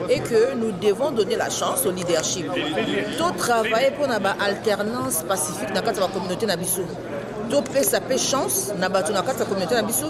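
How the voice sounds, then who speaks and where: Woman giving an emphatic message in a crowd. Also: a little high. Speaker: interviewee at community event. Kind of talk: encouraging public message. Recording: event hall with loud crowd. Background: loud crowd chatter.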